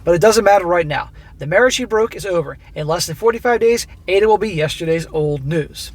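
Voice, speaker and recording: A man hosting a channel who reads a listener's story aloud and comments on it in a blunt, profane, coaching way, his voice going hoarse. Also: sits high, lively intonation, a little fast. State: losing his voice. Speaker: host reading listener stories.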